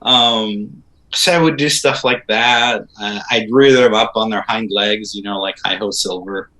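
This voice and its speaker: Bright-voiced Israeli-born man telling stories. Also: lowish voice, measured pace, poor audio. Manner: animated storytelling with laughs and fillers. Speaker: composer guest. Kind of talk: casual interview chat.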